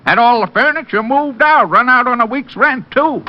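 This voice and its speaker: A man's voice: very high-pitched, lively intonation, moderate pace. An actor playing a chuckling, folksy old American night watchman with a drawl, in vintage radio character style. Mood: gleeful, gossipy. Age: older. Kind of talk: 1940s-50s radio character acting. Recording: dull, noisy vintage radio recording.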